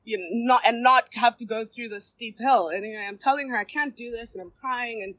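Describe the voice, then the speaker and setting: Woman, 30s, heartfelt recovery share to a group in a room, halting and candid. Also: fairly high pitch, fairly bright tone, dry recording. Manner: candid, halting, with many ums and restarts. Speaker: recovery meeting speaker. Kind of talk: personal share at a recovery meeting. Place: meeting room before a seated circle.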